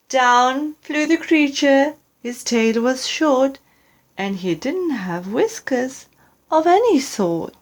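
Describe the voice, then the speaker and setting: A woman reading a children's story aloud as narrator, with dramatic and deliberate phrasing. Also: on the high side. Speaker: storyteller, audiobook reader.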